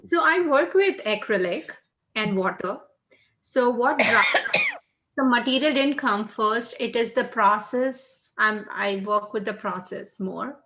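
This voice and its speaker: A woman's voice: fairly high pitch, lively intonation, slow pace, sharp tone, dull audio, slight background noise. A woman artist on a panel, politely explaining how she works. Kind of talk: explaining her art practice.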